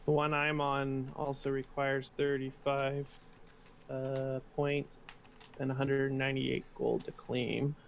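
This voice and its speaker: A man talking slowly and thoughtfully with teammates over voice chat while playing a game. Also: mid-range voice, level tone, vocal fry, muffled recording, slight background noise. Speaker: fellow player on voice chat. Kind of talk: casual voice chat.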